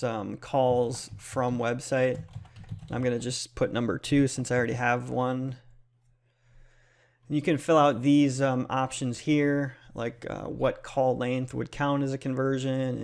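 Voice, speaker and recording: A man's voice: medium pitch, gravelly, darkish tone. A man hosting a casual explanatory tutorial, speaking in a plain, step-by-step instructional way. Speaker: tutorial host.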